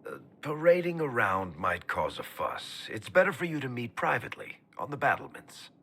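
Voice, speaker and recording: An actor voicing a wry, easygoing dwarf companion in a fantasy game, speaking hesitantly with small stammers. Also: male voice, medium pitch, very animated, normal speed, husky, heavily acted. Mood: sheepish. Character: wry male dwarf storyteller, easygoing and evasive. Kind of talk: video game dialogue acting. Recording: game audio with soft music behind.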